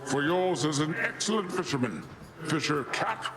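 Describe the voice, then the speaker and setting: A man's voice: on the high side, lively intonation, moderate pace, background noise, natural voice. A man acting a boastful, goofy middle-aged sea god uncle in a video game, with a grand, jovial theatrical delivery. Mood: amused, musing.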